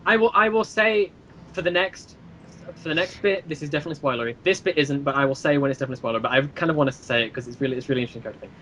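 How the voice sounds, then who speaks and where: A man's voice: a little high, very fast, bright, forceful tone, a little hiss or hum. A man chatting casually as an interview guest, explaining his series in a rambling, eager way. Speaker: guest, creator of an audio drama series.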